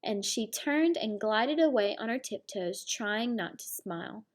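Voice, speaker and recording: A woman's voice: fairly high pitch, animated, a little slow. A woman reading a children's picture book aloud like a teacher, with explanatory asides. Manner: explains words and story asides. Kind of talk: children's storybook read-aloud.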